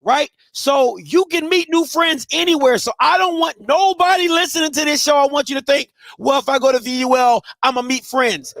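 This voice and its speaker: Host, male, 30s, from Maryland. High voice, blunt and emphatic, dry close sound. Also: moderate pace, vocal fry, few pauses, no room sound. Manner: emphatic, blunt, swings between soft and shouting. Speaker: live show host. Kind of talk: casual advice talk to listeners.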